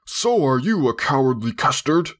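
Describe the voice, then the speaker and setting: A man's voice: medium pitch, very animated, moderate pace, fairly bright tone, pitched above the performer's own voice. Pompous middle-aged storybook father, played by a male reader, self-important, blustering, sulky. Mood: indignant. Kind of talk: storybook character voice.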